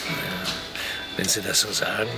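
A man's voice: sits low, steady tone, measured pace, music in the background, poor audio. An actor playing a put-upon, kindly servant in his fifties in a radio play, with a polite, hesitant, earnest manner. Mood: friendly, bashful. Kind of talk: naturalistic radio play acting.

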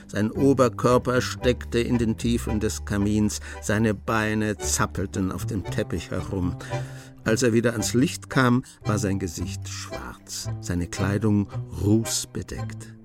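A man playing the composed narrator of a detective radio play, telling the story in measured, scripted phrases. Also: fairly low pitch, fairly even intonation, over music. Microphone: close studio microphone.

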